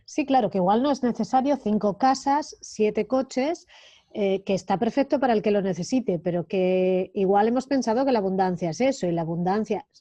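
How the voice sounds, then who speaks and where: A woman's voice: medium pitch, normal speed, dark tone, no room sound. A woman hosting an interview, casual and agreeable, often adding "no?" tags. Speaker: host, interviewer. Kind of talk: conversational interview.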